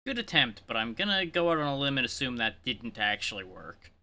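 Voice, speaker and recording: A man's voice: medium pitch, very animated, brisk, bright, forceful tone, slight background noise. A man livestreaming a game with casual, dry, sardonic commentary. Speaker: game streamer. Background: game music.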